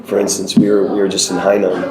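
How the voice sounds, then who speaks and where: A Chicago-raised man with a very deep voice talks loosely, circling and repeating himself as he thinks. He is recorded in a roomy music venue with a crowd audible behind him. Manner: rambling, repeats words while thinking. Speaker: band guitarist and singer. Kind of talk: casual interview chat.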